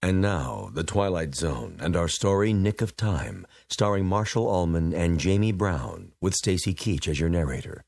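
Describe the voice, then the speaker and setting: A man announcing a radio drama as a solemn, deliberate and formal presenter of an eerie show. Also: low-pitched, expressive, vocal fry, darkish tone, no room sound. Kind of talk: scripted radio drama introduction.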